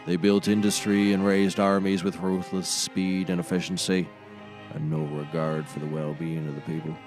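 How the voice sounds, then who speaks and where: A man playing a gloomy, deadpan comedy sidekick who narrates solemnly like a documentary. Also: deep voice.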